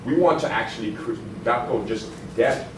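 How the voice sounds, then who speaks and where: A man from San Antonio speaking up at a town hall meeting with a big, forceful voice. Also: medium pitch, moderate pace. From San Antonio, Texas. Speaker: community attendee speaking up. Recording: echoey room from far off, noisy.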